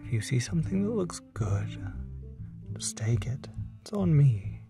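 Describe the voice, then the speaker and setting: A performer voicing a teasing, smooth-talking demon in an audio roleplay, murmuring slowly and condescendingly to the listener. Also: medium pitch, very animated, distant mic, music in the background. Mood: smooth, musing. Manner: slow, drawn-out, breathy murmuring, teasing.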